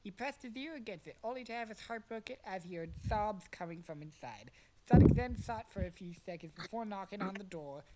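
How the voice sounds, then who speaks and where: A woman's voice: medium pitch, animated, fairly quick, hardly any pauses, very noisy. A young woman narrating fan fiction in a dramatic but casual, jokey storytelling style. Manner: dramatic storytelling, profane asides. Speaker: narrator and podcast cast member.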